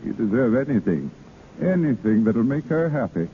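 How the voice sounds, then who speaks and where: A man's voice: mid-range voice, sing-song, moderate pace, lightly acted. A man acting a proud, formal, middle-aged husband in an old radio drama, with clipped theatrical diction. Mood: tender, resigned. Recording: scratchy, tinny old radio broadcast.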